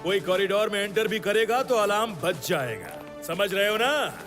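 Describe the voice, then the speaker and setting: A man's voice: high-pitched, expressive, normal speed, in a large hall, over music. A man playing a smug, self-assured official in a cartoon, explaining things in Hindi mixed with English phrases. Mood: boastful. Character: smug, reassuring male official guarding a chip.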